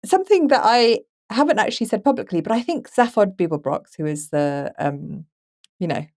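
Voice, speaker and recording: A woman's voice: mid-range voice, animated, normal speed, a little mellow. A woman co-hosting a podcast, talking through a film in a casual, thoughtful and conversational way. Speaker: podcast co-host.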